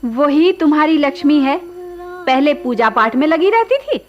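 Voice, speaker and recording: A practical, gossipy middle-aged housewife in a radio play. She is chatty and coaxing, with a high voice and very mobile intonation. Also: big pitch swings, normal speed, muffled recording. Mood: matter-of-fact. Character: practical, gossipy middle-aged wife and mother, quietly scheming. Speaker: radio actor. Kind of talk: radio drama dialogue.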